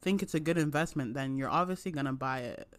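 A young man in college talks through a casual podcast chat, gushing with lots of "like" along the way. His voice sits fairly high. The sound is dry and close, with little room around it. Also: quick pace, dry recording. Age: young adult. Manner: chatty and enthusiastic, lots of "like" fillers. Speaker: podcast co-host. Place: at home.

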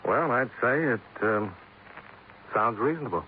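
A man's voice: medium pitch, animated, unhurried, phone-quality sound, slight background noise, natural voice. Man acting insurance investigator, American accent, dry, level-headed, 1950s radio drama, thin band-limited recording. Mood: dry, probing. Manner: dry, matter-of-fact.

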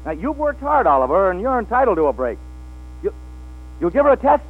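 An actor playing a hard-drinking, flirtatious male film star in a 1940s radio drama, halting and repeating his words. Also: high-pitched, big pitch swings. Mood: excited, insistent. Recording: old 1940s radio, tinny with hum.

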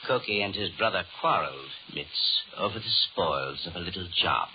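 Radio horror Devil: low, sweeping delivery, courtly menace, muffled and noisy old broadcast. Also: male voice, lively intonation, a little fast. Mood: coaxing, menacing. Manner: formal, courtly, menacing, theatrical. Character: suave, sinister Devil, mocking and commanding. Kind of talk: 1930s radio horror acting.

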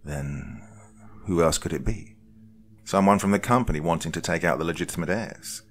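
A man's voice: low-pitched, moderate pace, slight background noise, natural voice. A man playing an embittered, wry young man in a horror story, with terse, questioning delivery. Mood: agitated.

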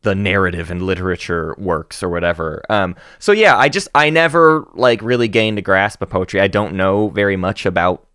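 A man in his thirties co-hosting a casual book podcast, talking with animated energy, laughing and often restarting his sentences. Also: sits low, moderate pace, few pauses, clear, resonant tone, no echo, studio quality. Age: 30s. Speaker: podcast co-host.

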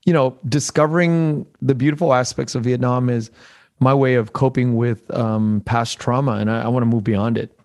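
Halting, earnest man hosting a podcast. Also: mid-range voice, fairly even intonation, a little mellow. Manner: halting, searching for words, earnest. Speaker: podcast host. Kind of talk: casual interview conversation.

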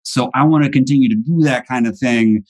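A man's voice: mid-range voice, a little fast, darkish tone. A man in his thirties chatting as a podcast guest, rambling and hesitant with many fillers. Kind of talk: casual chat.

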